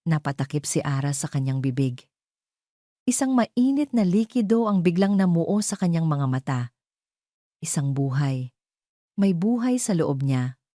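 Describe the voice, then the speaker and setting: A woman's voice: sits low, animated, unhurried, pauses often, mellow, no room sound, clean studio sound. A woman narrating a scripted dramatic story.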